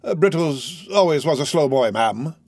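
A man's voice: a little high, animated, normal speed, darkish tone, no echo. A man voicing a respectful elderly male servant who answers deferentially.

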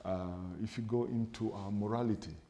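A middle-aged man, a pastor, answering in a studio interview with a measured, emphatic preaching style, building points in lists and quoting scripture, on a lapel mic. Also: on the low side, steady tone, warm tone. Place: TV studio set with sofas.

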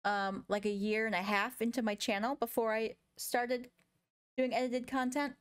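Calm, soothing woman co-host. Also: on the high side, moderate pace, clean tone. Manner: calm, steady, soothing delivery. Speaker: podcast co-host, gaming content creator. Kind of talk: casual chat.

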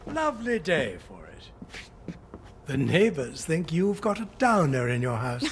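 An actor voicing a sinister doctor in a video game, mocking and prying with theatrical menace. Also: male voice, a little high, huge pitch swings, measured pace, a little hiss or hum, exaggerated voice. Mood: insinuating. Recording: faint game ambience behind.

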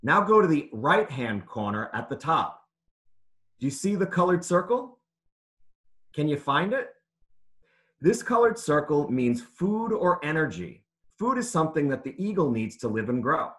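Animated, higher-pitched man reading to children. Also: a little slow. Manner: clear, simple teacherly phrasing with questions to listeners. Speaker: author reading aloud to children. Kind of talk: interactive children's read-aloud lesson.

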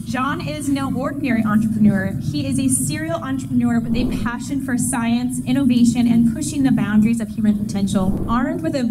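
Higher-voiced woman announcing over loud noise. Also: fairly quick, hardly any pauses. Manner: promotional, announcer-like. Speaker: event host introducing a speaker. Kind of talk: scripted speaker introduction. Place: indoor conference stage. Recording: very noisy event stage recording. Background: loud noise and ambience.

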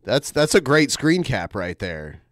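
A man's voice: mid-range voice, sing-song, a little slow, no room sound, studio quality. A man making casual reaction commentary as a podcast co-host.

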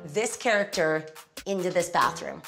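A woman's voice: fairly low pitch, expressive, moderate pace, vocal fry, over music. A woman co-hosting a renovation show, talking enthusiastically about the features of a house. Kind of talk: casual on-site chat. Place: house interior.

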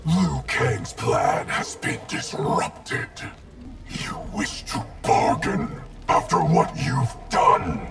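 Gravelly, menacing undead ninja. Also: male voice, mid-range voice, wildly swooping pitch, very slow, exaggerated voice. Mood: cold anger. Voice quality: gravelly, distorted growl. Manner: threatening, declamatory. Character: undead ninja wraith, cold, menacing, vengeful. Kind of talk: fighting game character dialogue. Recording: loud music behind.

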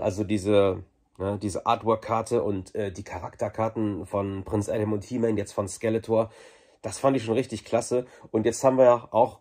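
Casting: male reviewer, forties, a little husky, informal and enthusiastic fan chatter. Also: on the low side. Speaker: video reviewer. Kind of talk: casual unboxing review to camera.

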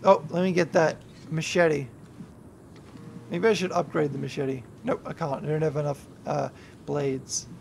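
Drunk, gravelly high-voiced young streamer. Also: male voice, fairly even intonation, a little slow, slight background noise. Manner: rambling and heavy swearing, yelling at the game. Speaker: YouTuber and streamer. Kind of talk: gameplay livestream commentary.

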